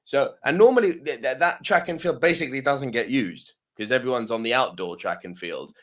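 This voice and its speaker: Animated man telling a gym story. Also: mid-range voice, brisk. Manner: swears freely, laughs often, lots of yeah-tags. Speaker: podcast co-host. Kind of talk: casual storytelling chat.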